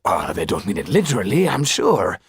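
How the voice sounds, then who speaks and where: A man's voice: deep voice, sing-song, a little fast, husky, dry recording, clean studio sound, heavily acted. Man as a fretful, theatrical, self-pitying theatre owner. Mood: weak, wary. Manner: fretful, exclamatory, mournful.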